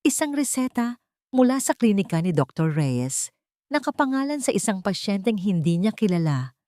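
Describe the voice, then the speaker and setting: A woman's voice: medium pitch, very animated, normal speed, warm tone, dry recording, studio quality. A woman reading a scripted story as an audiobook narrator, in a dramatic storytelling style.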